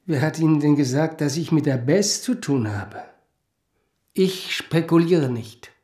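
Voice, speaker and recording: Actor as a cold, condescending financier, echoing room. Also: male voice, on the high side, measured pace, mellow, natural voice. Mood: dismissive. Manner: curt, condescending. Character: cold, dismissive, condescending powerful male financier. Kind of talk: German radio drama acting.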